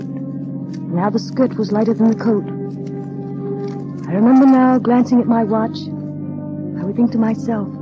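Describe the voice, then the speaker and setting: Actress as a high-voiced, shy young woman. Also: lightly acted. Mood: wistful. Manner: hesitant, polite, wistful. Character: shy, earnest, naive nineteen-year-old paid companion. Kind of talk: 1940s radio acting and narration. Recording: dull vintage radio recording, music behind.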